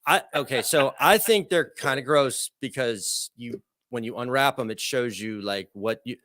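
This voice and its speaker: Casting: man in his thirties, mid pitch, dry close sound, loose joking chat. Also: moderate pace, fairly bright tone, no echo. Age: 30s. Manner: chatty, joking, lots of "like" and "okay". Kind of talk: casual chat.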